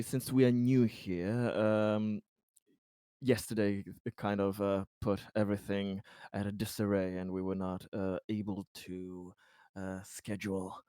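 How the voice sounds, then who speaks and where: Slow, hesitant lowish-voiced man. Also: warm tone, no echo, studio quality. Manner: hesitant, halting, polite. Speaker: tabletop roleplaying game player. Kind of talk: improvised in-character roleplay.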